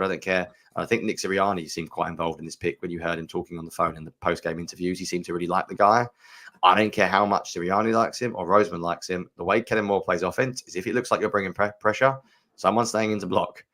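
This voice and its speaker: Deep-voiced man giving frank opinions. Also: fairly bright tone. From United Kingdom. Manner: frank, opinionated, thinks aloud with fillers. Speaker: podcast co-host. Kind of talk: casual sports podcast analysis.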